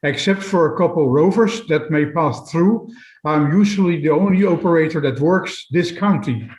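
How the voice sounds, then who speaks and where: Guy reading out webinar questions kinda haltingly, voice a bit high, roomy echo. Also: a little mellow. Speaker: webinar moderator. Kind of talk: reading out audience questions and comments. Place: indoors, online webinar. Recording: echoey room sound.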